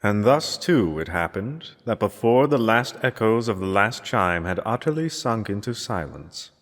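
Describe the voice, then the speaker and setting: A man reading gothic horror fiction aloud as a dramatic narrator, in a theatrical, measured manner with deliberate pauses. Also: lowish voice, expressive, fairly bright tone. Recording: long cavernous reverb.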